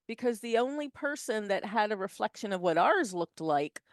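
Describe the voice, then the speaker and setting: A woman co-hosting a podcast, telling an anecdote in an animated, joking way. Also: mid-range voice, moderate pace, dry recording, studio quality. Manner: animated, jokey storytelling. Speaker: podcast co-host. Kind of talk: casual podcast interview.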